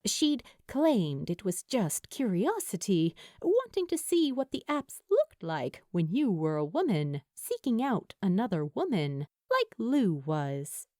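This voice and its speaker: A woman reading a story aloud as a narrator, in an expressive scripted audiobook style. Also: medium pitch, slow pace, few pauses, no room sound, studio quality. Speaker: fan fiction narrator.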